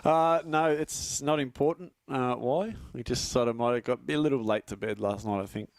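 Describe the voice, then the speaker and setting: A man from Australia doing casual studio commentary for a live stream, chatty and quick to laugh, often stumbling over his words. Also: mid-range voice, dry recording.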